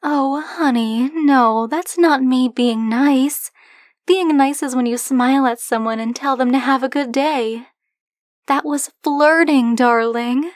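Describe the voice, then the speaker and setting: A woman's voice: high voice, no room sound, studio quality. A woman playing a possessive, affectionate dragon-blooded librarian in an ASMR roleplay, speaking coyly and candidly to the listener in a teasing, conversational way. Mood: exasperated, flirtatious. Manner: coy, teasing, conversational, fond pet names.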